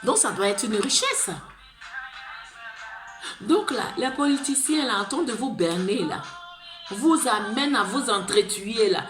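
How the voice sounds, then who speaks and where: A woman's voice: on the high side, lively intonation, a little slow, pauses often. Fervent Ivorian woman, echoey room, music. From Côte d'Ivoire. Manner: fervent and pleading, rambling with repeated "là" tags. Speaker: vlogger. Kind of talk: impassioned monologue to camera. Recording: roomy echo with music behind.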